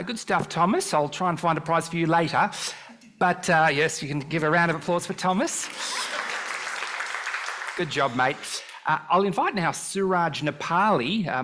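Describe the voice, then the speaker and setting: A man's voice: a little high, pushed and bright, a little hiss or hum. A man with an Australian accent hosting a casual live interview at a church event, chatty and informal, sometimes hesitant.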